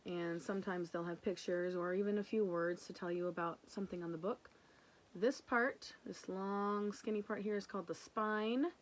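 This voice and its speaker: A woman's voice: medium pitch, steady tone, normal speed, poor audio. Woman teacher reading aloud to children. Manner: affectionate, addressing young children simply. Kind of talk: picture-book read-aloud with casual explanations.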